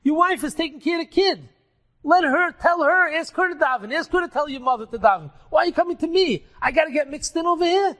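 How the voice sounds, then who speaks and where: Man voicing a devout man, anxious, pleading, very high voice, pitch climbing on each plea. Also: animated, fast talker, fairly bright tone, big-room sound. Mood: puzzled, pressing. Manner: exclaiming, pleading, rising pitch.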